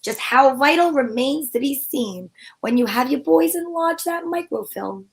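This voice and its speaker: Female gumshoe actress, high voice, cheeky and wisecracking, slangy noir delivery for vintage-style radio detective drama. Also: expressive, measured pace, creaky, exaggerated voice. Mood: explaining, slangy. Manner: wry, slangy hardboiled delivery. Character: wisecracking female private eye, cheeky and flirtatious, narrating her case.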